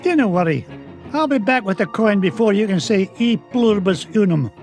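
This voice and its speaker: Casting: a bold old Scottish duck uncle for a cartoon game, high voice, very animated delivery. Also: male voice, brisk, faint music behind. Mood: brisk, confident.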